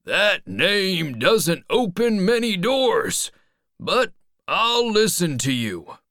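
A reader voicing a wary but kindly old man in a storybook, calling out loudly and gruffly. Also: mid-range voice, very animated, extremely slow, no echo, clean studio sound, voice pushed up, full character voice. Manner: loud, calling out.

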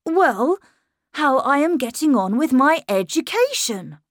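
A polite, charming young boy with a knowing, coaxing manner, his voice pitched high and lilting as a woman reads him in a storybook. He speaks a little louder and brighter than the narration around him, in a dry, close recording. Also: sing-song, measured pace, no echo. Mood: polite, insistent. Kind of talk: audiobook character voice.

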